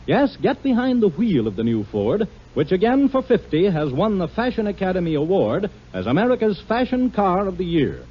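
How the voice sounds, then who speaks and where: A man's voice: a little high, breathy edge. A man reading a 1950 radio commercial with polished salesman delivery. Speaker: commercial announcer. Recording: dull lo-fi 1950 radio recording.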